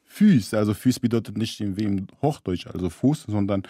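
A man's voice: on the low side, animated, moderate pace, dark tone, no room sound, clean studio sound. A man from Syria, a radio guest, explaining things carefully with small slips in his German. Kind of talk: radio interview.

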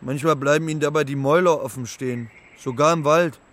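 An actor in a children's radio play voicing a naive, homesick young moose who talks in a simple, halting, literal way. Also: male voice, fairly high pitch, a bit bright, lightly acted. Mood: wistful. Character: young male moose, homesick, naive, earnest talking animal.

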